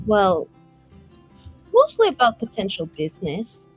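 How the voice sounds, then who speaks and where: A woman voicing a coy, evasive mage with a wry, drawn-out way of answering, in a tabletop roleplay. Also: mid-range voice, huge pitch swings, slightly rough, heavily acted. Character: evasive, coy, gifted female mage playwright. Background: music.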